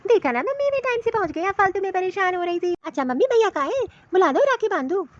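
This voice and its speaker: High-voiced young cartoon daughter played by a woman. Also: sing-song, fast, dry recording, dull audio. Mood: cheerful. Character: reassuring young married daughter in a family cartoon. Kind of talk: Hindi comedy cartoon story dialogue.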